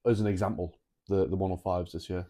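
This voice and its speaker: A man co-hosting a podcast, talking in a relaxed, casual way with many repeated words. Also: sits low, quick pace. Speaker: podcast co-host. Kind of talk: casual chat.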